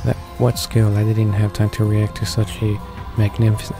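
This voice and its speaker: A man's voice: lowish voice, completely flat delivery, normal speed. A man reading visual novel narration aloud for his gaming channel, stumbling over words as he goes. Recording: faint music behind the voice. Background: game music.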